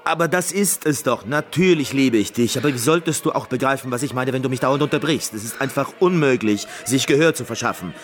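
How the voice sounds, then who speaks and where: An actor playing a neurotic, fragile, obsessive concert pianist in a radio play, with a halting, fussy manner. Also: male voice, mid-range voice, slight background noise, lightly acted. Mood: agitated, defensive. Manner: halting, stammering, fussy, self-absorbed.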